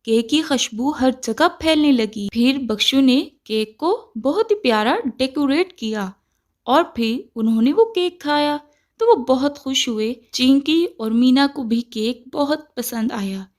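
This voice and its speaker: Female storyteller, high register, scripted children's moral tales, measured and instructive. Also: animated.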